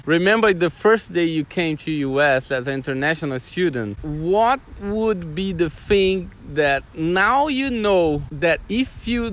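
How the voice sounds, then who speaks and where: A man with a Brazilian Portuguese accent hosts a casual interview, speaking haltingly as he searches for words. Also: a little high. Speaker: host.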